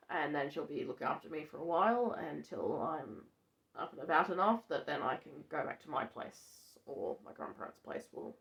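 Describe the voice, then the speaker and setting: A young woman with a gravelly, hoarse voice from allergies, talking in a chatty, matter-of-fact way in a casual vlog from a farmhouse bedroom. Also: sits low. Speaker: vlogger.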